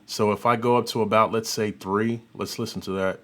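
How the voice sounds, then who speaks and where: A man hosting an audio software tutorial, giving an informal, unscripted demonstration and thinking aloud as he works. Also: on the low side, fairly even intonation, fairly quick, very noisy. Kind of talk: informal demonstration and review commentary.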